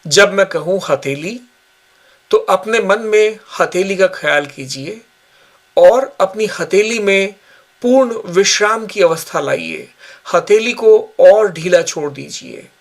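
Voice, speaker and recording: A man guiding a yoga nidra relaxation session with slow, calm, measured instructions. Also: sits high. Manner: slow, calm, measured guidance with long pauses. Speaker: yoga teacher.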